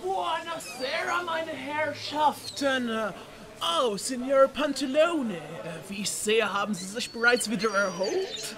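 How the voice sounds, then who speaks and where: A woman's voice: high-pitched, expressive, takes it slowly, a crowd in the background. A woman playing a courteous, suave male sea captain in a comedic audio play, with flowery politeness.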